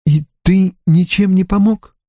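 A man's voice: high voice, steady tone, a little slow, dark tone, no echo, studio quality, pitched above the performer's own voice. A man voicing a hurt, sarcastic grown daughter in an audiobook, speaking in a pointed, reproachful way. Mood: accusing. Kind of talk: audiobook character acting.

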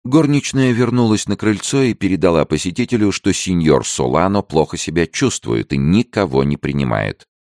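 A man reading a novel aloud as an audiobook, in an expressive, dramatic way. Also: sits low, normal speed, hardly any pauses, no room sound, studio quality. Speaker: audiobook reader. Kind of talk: expressive scripted narration.

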